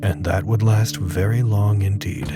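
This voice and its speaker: A man narrating a literary drama podcast as a solemn, reflective storyteller, speaking in a deliberate way. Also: fairly low pitch, fairly even intonation, close to an everyday voice. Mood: quietly hopeful. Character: solemn, reflective male storyteller of a bleak tale. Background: music with guitar.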